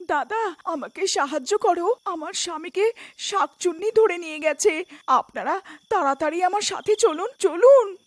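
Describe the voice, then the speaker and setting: A woman's voice: very high voice, animated, a little slow, no room sound, voice pushed up. A woman voicing an anxious village wife in a cartoon story, pleading and urgent. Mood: frantic, pleading.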